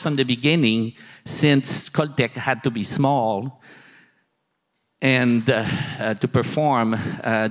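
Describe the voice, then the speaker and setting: A man in his sixties giving a keynote as a university dean, halting and pausing often mid-sentence, on a handheld microphone in an auditorium. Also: mid-range voice. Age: older, 60s. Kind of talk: conference presentation, speaking from slides. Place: large auditorium stage. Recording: long hall reverb from PA.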